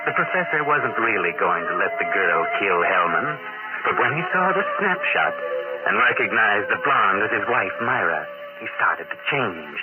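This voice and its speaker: An actor playing a wry, ominous, knowing crime narrator in a 1940s radio mystery. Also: male voice, lowish voice, big pitch swings, moderate pace. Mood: knowing, sardonic. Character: knowing, sardonic shadowy storyteller of crime. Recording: tinny 1940s radio under loud music.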